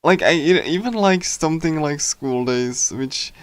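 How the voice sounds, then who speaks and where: A man with a fairly high, clean voice chats casually as a livestreamer. His delivery is hesitant and wandering, full of filler words, and the sound is close and dry. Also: expressive, a little slow, dry recording. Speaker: livestreamer reading a visual novel. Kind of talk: casual rambling stream chat.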